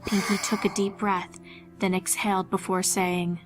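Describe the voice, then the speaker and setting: A woman's voice: medium pitch, flat delivery, a little slow, music in the background. A woman narrating a scripted horror fan fiction story.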